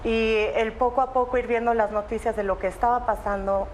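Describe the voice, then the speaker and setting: A woman's voice: fairly high pitch, fairly even intonation, a little fast, a little hiss or hum. Young woman recounting her evacuation. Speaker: interviewee, evacuee and student. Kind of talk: emotional interview testimony.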